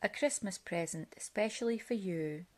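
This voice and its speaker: A woman's voice: mid-range voice, moderate pace, darkish tone, lightly acted. A woman voicing a grumpy but kindly male badger in a children's storybook, with blunt, emphatic delivery. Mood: merry.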